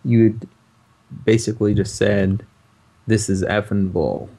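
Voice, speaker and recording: A man's voice: on the low side, fairly even intonation, slow pace, warm tone, husky. A man co-hosting a podcast, musing in casual chat with a hesitant, thoughtful delivery. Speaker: podcast co-host.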